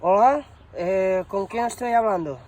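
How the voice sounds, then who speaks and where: A man's voice: sits high, a little slow, darkish tone. A man playing a bumbling shoe shop promotions employee on a prank call, hesitant and rambling, full of fillers and slang. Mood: chatty, hesitant. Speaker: prank caller. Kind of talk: improvised prank phone call.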